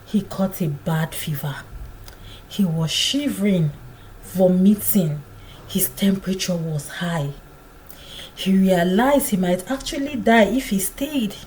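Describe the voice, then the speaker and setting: Slow, expressive woman storyteller. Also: fairly low pitch, slight background noise, low-quality recording. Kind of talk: scripted folktale narration.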